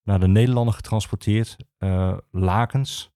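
Male podcast guest, archivist explaining history; hesitant delivery, mellow tone, dry close sound. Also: fairly low pitch, fairly even intonation, no room sound. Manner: halting, hesitant, many filled pauses.

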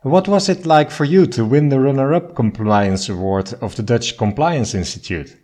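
A man's voice: mid-range voice, normal speed, dark tone. A man hosting a podcast, introducing a guest and asking questions in a formal, polite manner. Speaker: podcast host.

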